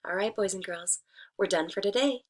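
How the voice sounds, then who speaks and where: A woman teacher giving a read-aloud lesson for children, speaking deliberately to a young audience. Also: mid-range voice. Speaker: elementary school teacher.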